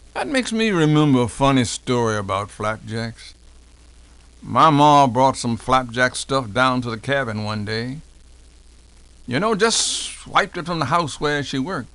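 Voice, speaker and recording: A man's voice: fairly high pitch, creaky, bright, forceful tone, exaggerated voice. A man playing an elderly formerly enslaved American man recalling his childhood in a folksy, dialect-heavy dramatic reenactment. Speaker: professor doing a dramatic reading. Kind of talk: dramatic first-person oral history reenactment.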